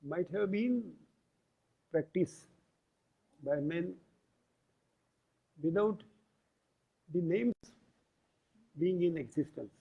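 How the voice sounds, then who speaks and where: A man giving an explanatory lecture, deliberate and pausing between short phrases. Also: high-pitched, expressive, vocal fry, breathy edge. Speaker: yoga lecturer. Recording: tinny, phone-like band-limited audio.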